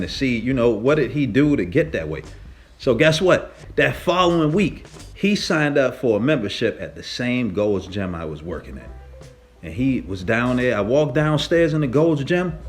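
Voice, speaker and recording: A man with a mid-pitched voice tells a casual story in a slangy, emphatic way. He talks like a gym vlogger chatting to his followers, with music playing behind him. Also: moderate pace. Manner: animated, slangy, emphatic, profane. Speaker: fitness vlogger, powerlifter.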